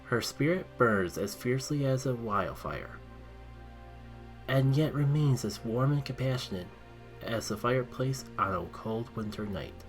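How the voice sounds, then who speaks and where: A man with a mid-pitched voice works as an online storyteller, speaking with expressive, dramatic emphasis. Music plays clearly behind his narration. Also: measured pace, low-quality recording. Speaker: video creator and storyteller. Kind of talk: casual intro, then reading own written story aloud.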